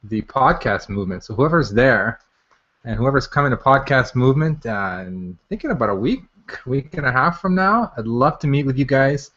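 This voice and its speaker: Male webinar host, mid-pitched, informal and off the cuff, repeats himself, slightly muffled lo-fi sound. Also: a bit bright. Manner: informal, repeats phrases as he goes. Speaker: software maker hosting a product launch webinar. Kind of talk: casual unscripted live webinar presentation. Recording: dull, lo-fi webinar audio.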